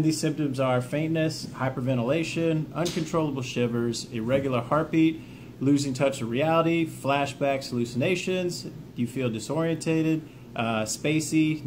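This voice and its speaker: Man, medium pitch, instructional vlog delivery, plainspoken, informal, encouraging, noticeable background noise. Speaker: veteran hosting a self-help video series. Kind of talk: instructional talk to camera, reading from notes.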